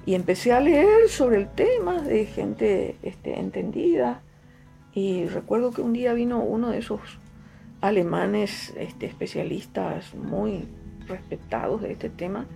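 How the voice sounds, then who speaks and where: A middle-aged woman with a Paraguayan Spanish accent and Guaraní as her first language speaks slowly and thoughtfully, often circling back to repeat a phrase. Her voice is gravelly and dark, with a relaxed mellowness. Soft music plays faintly beneath her. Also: mid-range voice. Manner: unhurried, fond of repetition, frequent fillers. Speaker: writer, interviewee. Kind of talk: reflective interview answers.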